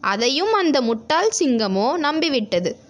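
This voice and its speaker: Woman reading a kids bedtime story, really high voice, slow storyteller pace. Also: big pitch swings. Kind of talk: scripted children's bedtime story narration.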